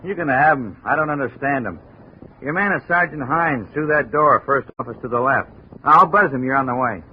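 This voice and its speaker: An actor playing a slangy, unimpressed American police desk officer in a 1950s radio drama, blunt and folksy. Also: male voice, medium pitch, moderate pace, lightly acted. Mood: gruff, unimpressed. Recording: muffled, hissy 1950s radio recording.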